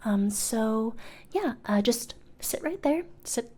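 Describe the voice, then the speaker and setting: A woman playing a polite, blasé demon HR interviewer in a soft-spoken ASMR role-play, partly whispered, with a casual, hesitant, dryly bureaucratic manner. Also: a little high, expressive, slow pace. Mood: polite, dryly teasing.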